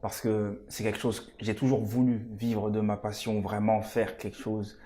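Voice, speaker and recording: A man's voice: lowish voice, little pitch movement, moderate pace, warm tone. A man in his twenties, an actor raised in France and born in Congo, answering interview questions in an earnest, hesitant way with many fillers. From Congo-Kinshasa, raised in France. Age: 20s. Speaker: stage actor, interview guest.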